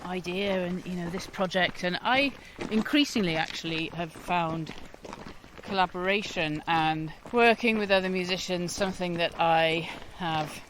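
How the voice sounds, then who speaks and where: A woman's voice: on the low side, background noise. A Welsh woman in her forties, a guest musician talking in a thoughtful, hesitant way during an outdoor interview on a walk. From Wales. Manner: thoughtful, hesitant, often pauses mid-phrase.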